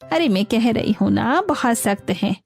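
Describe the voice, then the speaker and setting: A woman's voice: on the high side, animated, moderate pace, vocal fry, darkish tone. A woman voicing a demanding, scolding elderly female houseguest in a cartoon story, bossy and full of complaints. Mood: demanding, complaining.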